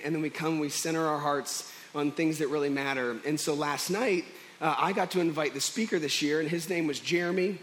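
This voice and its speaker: A young man preaching as pastor at a church service, hoarse and chatty, joking with fillers and restarts. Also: sits high. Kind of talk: casual sermon.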